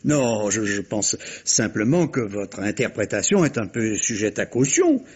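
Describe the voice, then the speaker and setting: An actor playing a courteous, probing police inspector in a vintage radio mystery, with polite, hesitant, insinuating questions. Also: male voice, fairly low pitch, big pitch swings, a little slow, slightly rough, full character voice.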